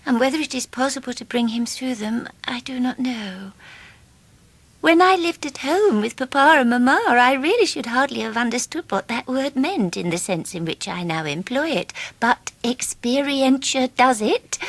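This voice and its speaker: An actress playing a faded, middle-aged British gentlewoman, anxious and confiding, with a breathy voice, in a radio drama. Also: sits high, no room sound. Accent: British English. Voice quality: husky, breathy. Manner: genteel, tremulous, confiding. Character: faded genteel wife, anxious, self-dramatising, proudly well-bred.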